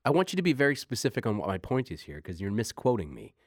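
A man's voice: mid-range voice, big pitch swings, quick pace, dry recording, studio quality. A man co-hosting a radio show, teasing his partner in mock-indignant casual banter. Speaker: radio co-host.

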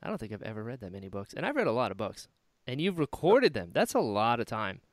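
Interviewer: male, animated intonation, informal and effusive, chatting casually with a guest. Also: medium pitch, quick pace. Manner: effusive, amazed reactions. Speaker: podcast host, interviewer. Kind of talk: casual chat.

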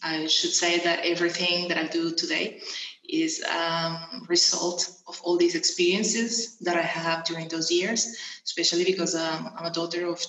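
A woman from Venezuela answering interview questions as a coach and mentor, talking with many pauses, ums and restarts. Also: lowish voice, flat delivery, fairly bright tone, echoey room, slight background noise, poor audio.

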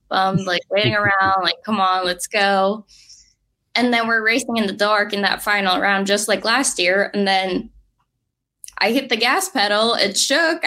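A young woman from Norway with a Norwegian accent, telling her racing story as an interview guest, lively and full of "like". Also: fairly high pitch. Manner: lively, fillers of "like", laughs easily. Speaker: drag racing driver guest.

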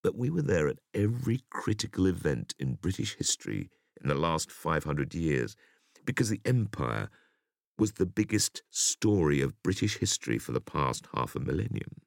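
Very deep, gravelly man reading an audiobook. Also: very animated, normal speed, no echo, studio quality. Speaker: audiobook reader. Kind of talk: audiobook reading of an interview essay.

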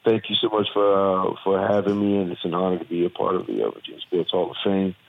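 A man with a Southern accent answers interview questions in an earnest, reflective way, pausing often on fillers. His voice sits very low. The sound is thin and phone-like, as if over a remote call. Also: vocal fry. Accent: Southern American. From Virginia. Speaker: actor and former football player. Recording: tinny phone-like line.

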